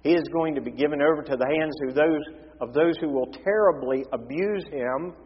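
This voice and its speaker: A hoarse man preaching a sermon as pastor, impassioned, moving between forceful emphasis and hushed tones. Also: fairly high pitch, brisk. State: hoarse, losing his voice. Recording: reverberant hall, dull lo-fi sound.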